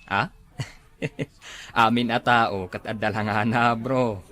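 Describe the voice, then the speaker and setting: A man's voice: fairly low pitch, fairly even intonation, takes it slowly, sharp tone. A man playing a mocking, snobbish young buddy in an Ilocano radio drama, teasing with a drawl. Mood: teasing, scornful.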